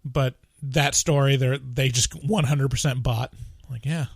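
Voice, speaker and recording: A man's voice: mid-range voice, fairly even intonation, a little slow, creaky, husky, no echo. A man in casual movie review chat, talking loosely with lots of "like" and "you know" and the odd laugh. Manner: chatty, frequent "like" and "you know", laughs between points.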